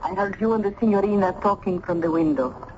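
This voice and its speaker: A woman's voice: mid-range voice, fast, darkish tone, natural voice. An actress playing a motherly, sly Italian landlady with an Italian accent, teasing and bossy, in an old-time radio drama. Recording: muffled, noisy vintage radio recording.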